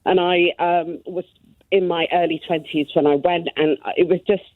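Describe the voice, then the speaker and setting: A woman's voice: fairly low pitch, fairly even intonation, normal speed, no echo. A woman in her thirties from Somalia calls in to a radio show and talks earnestly, piling up detail. Her voice comes through a tinny, narrow phone line. It sounds dry, with no room around it. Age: 30s. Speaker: caller. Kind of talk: phone-in call.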